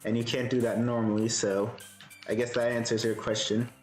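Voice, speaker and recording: A man live-streaming a game, with a soothing voice, thinking aloud in a relaxed way and answering chat. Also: mid-range voice, moderate pace, roomy sound, soft background music.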